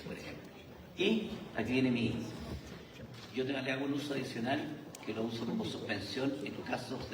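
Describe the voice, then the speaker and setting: Chilean man lecturing at a conference, halting, recorded from far off in a reverberant hall. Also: medium pitch, background noise. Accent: Chilean Spanish. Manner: hesitant, many filler sounds and restarts. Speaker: surgeon presenting at a conference. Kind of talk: conference talk.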